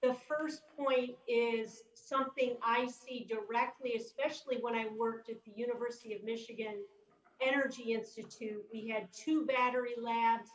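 A woman's voice: fairly high pitch, steady tone, normal speed. A middle-aged woman from upstate New York giving an upbeat, chatty keynote talk as an economist over a video call. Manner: upbeat and chatty, with casual asides. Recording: roomy, slightly dull video-call audio.

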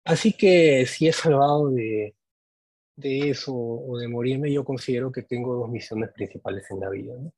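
A man's voice: medium pitch, moderate pace, darkish tone, dry recording, low-quality recording. A man in his forties with a Peruvian Spanish accent, a medical teacher giving an earnest, reflective speech to graduating students, often adding tag questions. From Peru. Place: indoors, conference room. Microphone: podium microphone.

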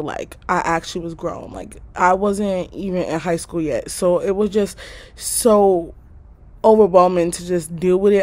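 A nineteen-year-old woman telling a casual storytime vlog in her bedroom, animated and slangy, jumping from loud bursts to low muttered asides. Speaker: vlogger. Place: small bedroom, indoors.

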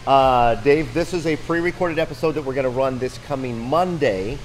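A man's voice: medium pitch, moderate pace. A man in his forties giving an enthusiastic, informal tour to camera as a show host. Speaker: company video show host. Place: large open factory hall, indoors. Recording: noisy factory floor ambience.

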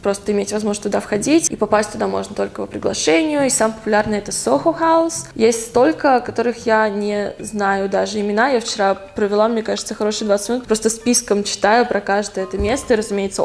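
Chatty young woman with a gravelly edge. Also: mid-range voice, a little mellow. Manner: chatty, thoughtful, with frequent hesitations. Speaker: vlogger answering viewer questions. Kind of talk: casual talking-head Q&A.

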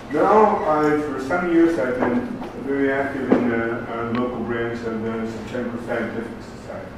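Older man asking from across an echoey room. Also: mid-range voice. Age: older, 60s. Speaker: audience member asking a question. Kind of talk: spontaneous question from the floor. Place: lecture room, indoors. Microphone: distant camera microphone. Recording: very echoey, distant, noisy room.

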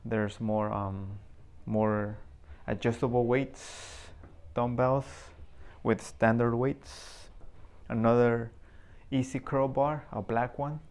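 Slow-talking young man touring his garage gym. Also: mid-range voice, steady tone, a little mellow. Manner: slow, unhurried, pausing between items. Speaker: home gym owner giving a tour. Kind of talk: casual walkthrough tour. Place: small home garage gym indoors.

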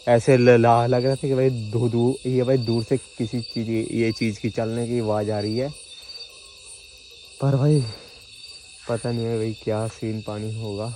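A man's voice: mid-range voice, little pitch movement, unhurried, dark tone, a little hiss or hum. A young man in his twenties filming a solo camping vlog on a handheld phone, outdoors in a forest at night and inside a small tent. He talks nervously, stammering and restarting words. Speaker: vlogger. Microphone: phone held at arm's length.

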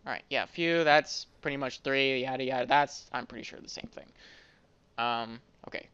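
A man's voice: mid-range voice, normal speed, pushed and bright, clean tone, no echo, highs cut off. A man giving casual gameplay commentary, rambling and thinking aloud as he plays. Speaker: gaming video host.

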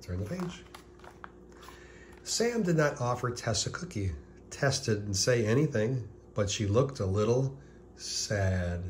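A man reading a children's picture book aloud as scripted narration, deliberately, with pauses before key words. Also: medium pitch, sing-song, a little mellow, slight background noise.

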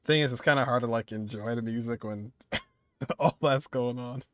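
A man's voice: medium pitch, normal speed, noisy background. A young man in his twenties giving casual, slangy game reactions in short remarks as a streamer. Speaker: gaming streamer. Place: indoor room at a streaming setup. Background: video game music.

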